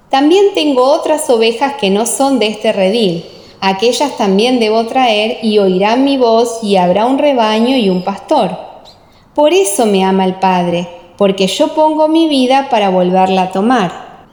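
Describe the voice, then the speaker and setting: Woman reading scripture like a radio play, solemn n careful, highish voice, very echoey. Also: darkish tone. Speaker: audiobook narrator. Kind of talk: dramatized scripture narration. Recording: echoing, long reverb.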